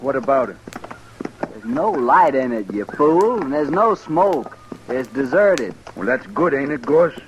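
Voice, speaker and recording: Casting: uneasy, bickering western outlaw, slow rustic drawl, medium pitch, vintage radio drama with light noise. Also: male voice, big pitch swings. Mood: tense, irritable. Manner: drawling rustic frontier speech. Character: two outlaws on the run, bickering, uneasy frontier men. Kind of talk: old-time radio western acting. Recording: noisy vintage radio recording.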